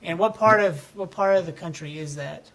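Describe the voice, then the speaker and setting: A man's voice: on the high side, normal speed, fairly bright tone. A man hosting a podcast, interviewing a guest with friendly, short follow-up questions. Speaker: podcast host. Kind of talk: casual interview.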